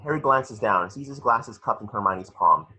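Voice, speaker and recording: A man with a lowish, very sharp and forceful voice, slightly husky. He reads stage directions as scripted narration, measured and dramatic, in short phrases. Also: lively intonation, lo-fi sound. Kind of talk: scripted narration for a table read.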